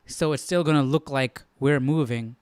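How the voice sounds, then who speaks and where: A man's voice: medium pitch, moderate pace, clean tone, dry recording. A man hosting a live Bible study, thinking aloud in a conversational, questioning way. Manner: conversational, questioning, repeats himself for emphasis.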